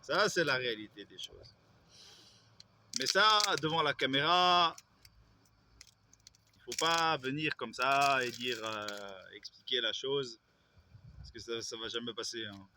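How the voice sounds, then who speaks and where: A man's voice: mid-range voice, measured pace, pauses often, pushed and bright, slight background noise. A man, vlogging in a rambling way, with hesitations and self-corrections. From Belgium. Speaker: YouTuber. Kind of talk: casual vlog monologue.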